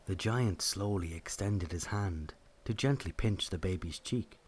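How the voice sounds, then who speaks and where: Deep-voiced man narrating a legend. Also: unhurried, background noise. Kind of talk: scripted storytelling narration of a mythic legend.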